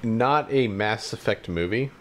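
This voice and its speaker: A man's voice: on the low side, moderate pace, fairly bright tone, a little hiss or hum. A man co-hosting a casual podcast about games and films, with a blunt, informal way of talking. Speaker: podcast co-host.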